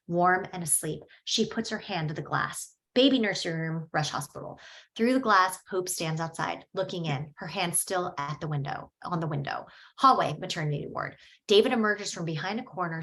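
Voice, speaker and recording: Casting: a woman with a lowish voice reading stage directions steadily. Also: a bit bright, low-quality recording. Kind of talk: table read narration.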